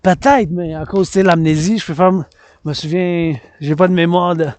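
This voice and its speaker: Man, quebec french accent, declamatory, repeats himself, fairly high voice, outdoors on a trail. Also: steady tone, normal speed, a little mellow, clear, resonant tone. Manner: declamatory and theatrical, repeats phrases in both languages. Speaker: vlogger. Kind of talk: walking vlog, mixing English and French. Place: outdoors on a wooded mountain path.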